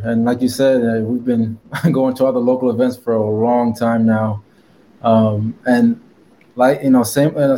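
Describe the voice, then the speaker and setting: A man's voice: medium pitch, mellow, a little hiss or hum, rough recording. A man from Connecticut, a guest in an interview, talking casually with lots of fillers. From Connecticut, USA. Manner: rambling with many fillers and laughs.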